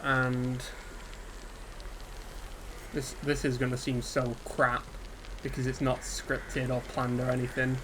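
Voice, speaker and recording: A man giving an unscripted, subdued and hesitant rant about a game, sounding dejected and pausing often on ums. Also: mid-range voice. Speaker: gaming video creator. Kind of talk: unscripted solo rant to camera. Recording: steady background ambience hiss.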